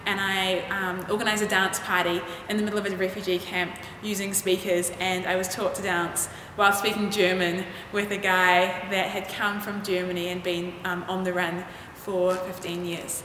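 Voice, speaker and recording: A woman's voice: fairly low pitch, little pitch movement, normal speed, hardly any pauses, fairly bright tone. An earnest young woman speaks in short, eager testimonial clips. Her voice rings in a long, echoey hall over a faint hiss of background noise. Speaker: student alumna.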